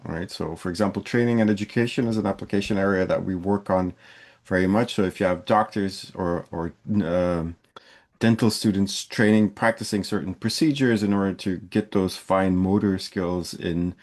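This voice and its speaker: A man from Belgium delivers a lecture in a fairly low voice. He keeps it conversational, with plenty of fillers and restarts. He sounds like a young adult talking through his work. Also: a little mellow. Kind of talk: university lecture.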